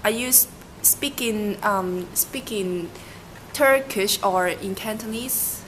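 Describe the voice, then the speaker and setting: A woman's voice: mid-range voice, takes it slowly, a bit bright, a little hiss or hum, low-quality recording. A young woman interviewing someone, asking questions hesitantly with fillers and restarts. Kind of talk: casual student interview.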